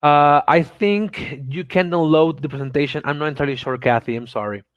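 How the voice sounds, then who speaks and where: A man's voice: a little high, fairly quick, no echo, highs cut off. A man in his thirties from Venezuela with a Venezuelan Spanish accent, giving an online conference talk in an eager way, often repeating words and restarting. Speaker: conference speaker. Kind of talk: online presentation.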